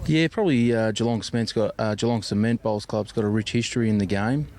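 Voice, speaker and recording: A man's voice: fairly low pitch, quick pace, darkish tone, soft background music. A young Australian man, a sports player, giving casual answers in an interview.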